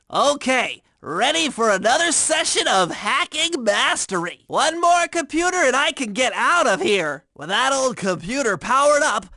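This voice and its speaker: A man's voice: high voice, bright, forceful tone, no room sound, heavily acted. A man voicing a nerdy, anxious young male turtle inventor and hacker in a video game, speaking in precise, wordy technical phrases.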